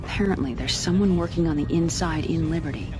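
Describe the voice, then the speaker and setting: An actress plays a businesslike female commander in a space game. Her voice is on the low side, with a dry, efficient delivery. Music plays under her lines. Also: quick pace, natural voice. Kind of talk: game cutscene acting.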